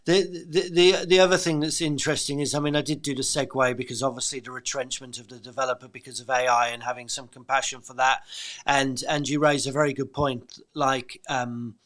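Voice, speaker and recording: A man's voice: mid-range voice, fairly bright tone. A man hosting a podcast interview, reflecting on his guest's answers in a thoughtful, affirming way with frequent fillers. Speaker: podcast host.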